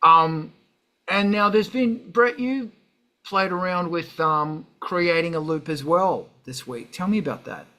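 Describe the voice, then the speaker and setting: Man, talk host, bright cutting voice, slightly high, casual, brash, sweary, self-deprecating grump. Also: a little slow. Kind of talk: casual chat.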